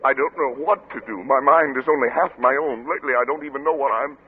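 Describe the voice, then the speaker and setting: An actor playing a tormented scientist husband losing his mind, in intense, halting 1940s radio drama style. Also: medium pitch, slight background noise, natural voice. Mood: desperate, pleading. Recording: tinny old radio broadcast, music behind.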